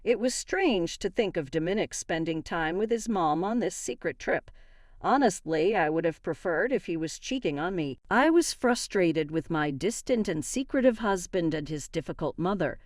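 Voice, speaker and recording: Animated woman narrating a dramatic story. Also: fairly low pitch, moderate pace, no room sound, studio quality. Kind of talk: scripted dramatic first-person narration.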